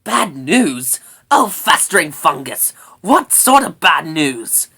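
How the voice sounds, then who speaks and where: A woman voicing a sharp-tongued young furry brownie girl in a storybook, grumpy, brash and sarcastic. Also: sits low, very animated, slow, husky, voice pushed up, exaggerated voice. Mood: brash, mocking.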